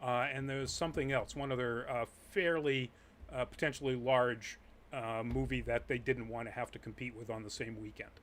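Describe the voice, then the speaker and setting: A man's voice: medium pitch, steady tone, normal speed, fairly bright tone, a little hiss or hum. A man hosting a live chat show, upbeat and often laughing as he runs through the news. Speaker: host.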